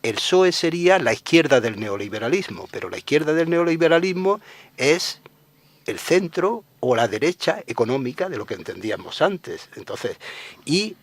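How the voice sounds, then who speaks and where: A man's voice: fairly high pitch, sing-song, normal speed. Spanish man, pessimistic radio interview guest. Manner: trails off, then presses points forcefully and pessimistically. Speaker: journalist guest.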